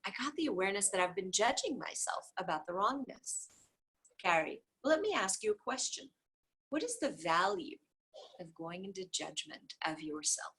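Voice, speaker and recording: A woman reading a dialogue book aloud, speaker labels included, with repeated phrases in an even, steady cadence. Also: medium pitch, breathy edge, rough recording. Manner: occasional soft offhand asides.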